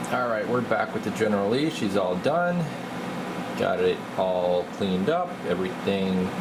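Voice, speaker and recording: A man giving a casual, folksy, slangy repair walkthrough as a radio technician. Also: lowish voice. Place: indoor radio repair workshop. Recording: steady clear background noise.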